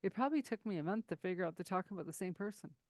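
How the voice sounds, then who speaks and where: A woman hosting an interview, chatty and animated, who tells stories quickly and laughs often. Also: on the low side, no room sound. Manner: lively storyteller, laughs often, speeds up when excited. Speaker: podcast host. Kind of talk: casual interview conversation.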